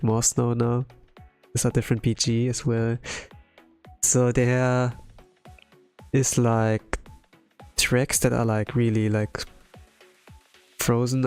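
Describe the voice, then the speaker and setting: A man streaming casual commentary on a game story, rambling and halting with many filler words, over music. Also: mid-range voice, darkish tone, clean tone. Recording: music under the voice.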